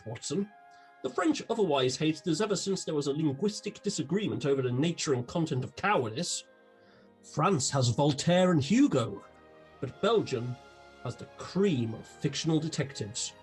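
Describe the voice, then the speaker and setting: Actor as eccentric British sleuth, grandiloquent, rambling, comic radio drama, wide-swinging pitch. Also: expressive, moderate pace, darkish tone, faint music behind, natural voice. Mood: pompous, rambling. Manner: grandiloquent, theatrical. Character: eccentric, unhinged, gluttonous detective, pompous and rambling. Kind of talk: comic radio play acting.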